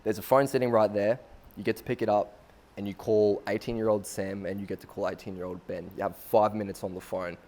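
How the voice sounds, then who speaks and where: A man's voice: fairly low pitch, little pitch movement, fairly quick, darkish tone. A man hosting a podcast, reacting to his guests with upbeat enthusiasm. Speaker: podcast host. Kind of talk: casual interview hosting.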